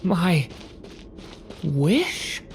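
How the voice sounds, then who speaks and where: A woman's voice: fairly low pitch, sing-song, drawn-out words, soft background music, full character voice. A woman voicing a lost, fearful young boy in an anime fantasy game, faint and hesitant. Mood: anxious.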